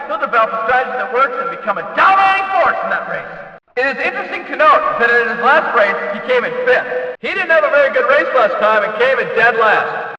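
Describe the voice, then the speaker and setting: A man playing an excitable, folksy race announcer in a video game, commentating emphatically in a racing style. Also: extremely high pitch, muffled recording, full character voice. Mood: matter-of-fact recapping. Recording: echoey hall, distant from the mic.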